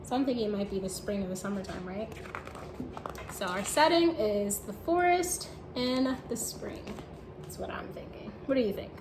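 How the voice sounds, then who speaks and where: A woman teacher reading a picture book aloud to children, expressive and encouraging, asking her young listeners questions. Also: mid-range voice, normal speed. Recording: roomy echo with faint background noise.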